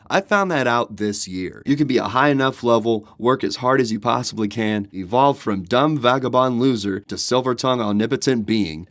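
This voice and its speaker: Low-voiced man narrating, faint music behind. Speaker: video essay host. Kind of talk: reflective scripted narration, casual and sweary.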